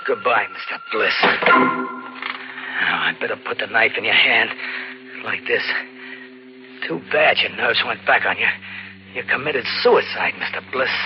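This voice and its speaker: An American actor playing a desperate hunted drifter turned killer in a 1940s radio thriller, slangy and intense. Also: male voice, medium pitch, expressive, a little slow, long pauses, close to an everyday voice. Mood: cold. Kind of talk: 1940s radio thriller acting, first-person narration. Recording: dull, very noisy old radio recording.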